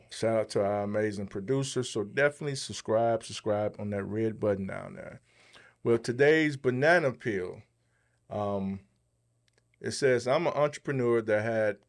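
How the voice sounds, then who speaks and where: Guy with a lowish voice hosting a podcast, chatting and giving advice. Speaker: podcast host.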